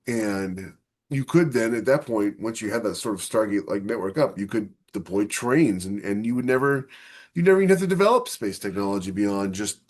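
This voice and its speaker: Low-pitched man chatting casually. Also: lively intonation, brisk. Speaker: podcast co-host. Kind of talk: casual discussion of science fiction ideas.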